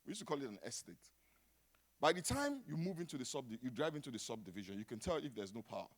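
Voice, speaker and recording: Nigerian pastor with a lively, swooping voice. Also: male voice, medium pitch, quick pace. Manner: dramatic, swings from hushed to shouting. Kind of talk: sermon.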